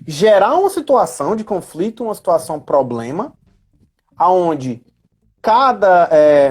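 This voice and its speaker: A man with a Brazilian accent explaining things in a casual live discussion, deliberate and pausing between phrases. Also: a little high, darkish tone. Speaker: live stream discussion participant. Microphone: live video call.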